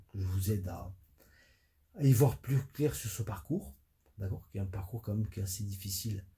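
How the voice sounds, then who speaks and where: Dark-voiced man with a stuffy nose. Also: fairly low pitch, creaky. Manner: halting, many fillers and restarts. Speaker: spiritual coach. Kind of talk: hesitant spoken audio monologue.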